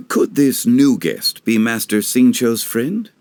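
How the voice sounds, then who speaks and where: A man playing a calm, dignified gentleman in an anime game, speaking formally and with composure. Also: mid-range voice, slow, dry recording. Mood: composed. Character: Zhongli, calm dignified gentlemanly funeral parlor consultant. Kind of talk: anime game dub acting.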